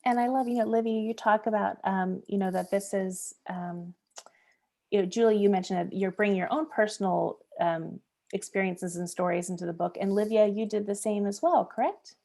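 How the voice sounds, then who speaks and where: A woman's voice: mid-range voice, fairly even intonation, normal speed, vocal fry, warm tone. A woman hosting a live online book event, welcoming guests and asking questions with frequent fillers. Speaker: museum program host. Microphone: video call.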